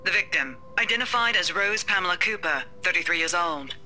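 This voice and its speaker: A formal local news anchor played by a woman delivers grim reports in a measured way. The voice is very bright and sharp, with faint music underneath. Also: fairly low pitch, animated, poor audio. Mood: grave, formal. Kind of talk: news bulletin in a visual novel reading.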